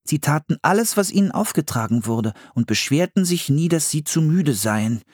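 Man playing a young boy, raised pitch, cautious, bookish, slightly plaintive, storybook character, dry recording. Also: lively intonation, moderate pace, darkish tone, dry recording. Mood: studious reading. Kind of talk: audiobook children's story character voice.

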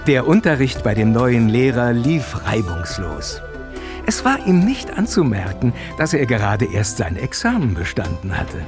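A man narrating an audio drama for young listeners in a storytelling manner. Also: medium pitch. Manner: storytelling, expressive. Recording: narration over music.

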